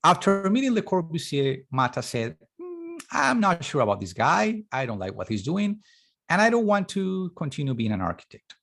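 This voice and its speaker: Lively male art lecturer. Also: a little high, normal speed, gravelly. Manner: animated, thinking aloud with fillers and self-corrections. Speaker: art talk presenter.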